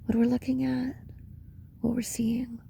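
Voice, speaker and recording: A woman leading a guided meditation in a reflective monologue, speaking in short, paused phrases with a breathy voice that often slips into a whisper. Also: on the high side, little pitch movement, mellow, a little hiss or hum. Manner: slow, pausing between short phrases.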